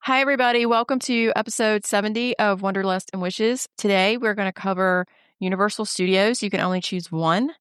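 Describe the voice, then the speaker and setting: A woman's voice: medium pitch, a little fast, clean tone. Slightly nasal woman with a head cold. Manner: runs through lists in a steady, even delivery. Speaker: podcast co-host and travel agent. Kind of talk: casual podcast chat.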